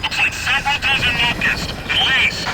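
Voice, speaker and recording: Very high-pitched, anxious young soldier. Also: male voice, flat delivery, normal speed, very noisy. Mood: urgent. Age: young adult. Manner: deferential, hesitant. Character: anxious young male soldier reporting to command. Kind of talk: video game cutscene acting. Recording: loud music behind the voice.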